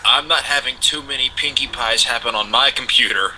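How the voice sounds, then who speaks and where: Man as put-upon, incredulous everyman in an amateur story reading; piercingly bright, mid-pitched, slight noise. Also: fairly quick, poor audio. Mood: resigned disbelief. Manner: weary, incredulous, resigned. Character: exasperated, reluctant male computer owner. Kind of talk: amateur fan fiction reading.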